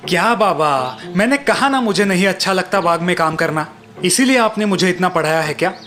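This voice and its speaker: A man's voice: high voice, animated, brisk, a bit bright, faint music behind. A man playing a spoiled, boastful young village son in a cartoon moral story, with a whiny, dismissive manner. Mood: irritated, dismissive. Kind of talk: animated Hindi moral story dialogue.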